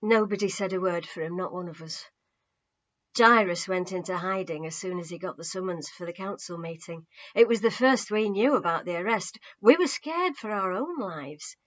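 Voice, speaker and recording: A woman's voice: lowish voice, expressive, normal speed, natural voice. Muffled, earnest young man voiced by a woman. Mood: regretful. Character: earnest, shamefaced young man telling bad news.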